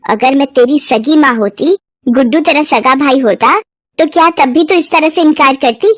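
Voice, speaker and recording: High-voiced stepmother played by a woman. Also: moderate pace, dry recording, studio quality, close to an everyday voice. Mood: bossy, resentful. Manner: scolding, bossy. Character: harsh stepmother, resentful, doting on her son.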